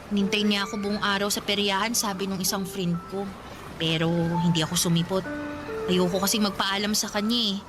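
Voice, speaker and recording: A woman's voice: mid-range voice, steady tone, fairly quick, music in the background, close to an everyday voice. A woman playing a sentimental, teasing older girl cousin in a radio romance drama, confiding and dramatic. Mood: wistful, regretful.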